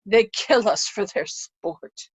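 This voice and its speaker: A woman playing a despairing, blinded old nobleman, grave, halting and lamenting, in a Shakespeare reading. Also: mid-range voice, husky, dry recording. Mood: bitter sorrow. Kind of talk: remote readers' theatre Shakespeare performance.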